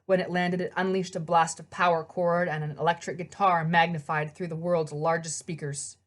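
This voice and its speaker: A woman's voice: on the low side, flat delivery, normal speed. A woman reading a children's novel aloud as narrator, in scripted storybook narration.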